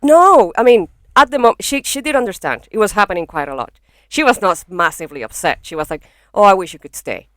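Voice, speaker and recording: Young Venezuelan-accented woman, frank comic guest, crisp close podcast mic. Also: medium pitch, expressive, fairly quick, a bit bright, no room sound. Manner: frank, swears freely, laughs easily. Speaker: guest comedian. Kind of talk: casual podcast chat. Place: small home studio in a bedroom. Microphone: podcast microphone.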